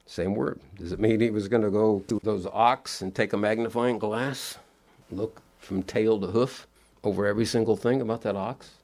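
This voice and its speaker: A man's voice: fairly low pitch, fairly even intonation, normal speed, darkish tone. A middle-aged man preaching a sermon, sounding nasal and sniffly from allergies, earnest and repeating his key words in plain homespun phrasing.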